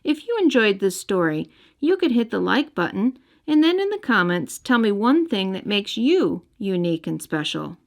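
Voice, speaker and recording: A woman's voice: medium pitch, very animated, moderate pace, gravelly, few pauses. A woman reading a story aloud expressively for young children. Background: music.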